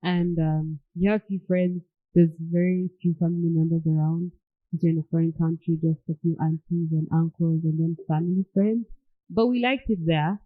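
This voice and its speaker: Husky young woman on a tinny line. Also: fairly low pitch, moderate pace. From born in England, raised in Rwanda. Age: young adult. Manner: relaxed, laughs often, many filler yeahs. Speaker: podcast guest. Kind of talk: casual interview chat.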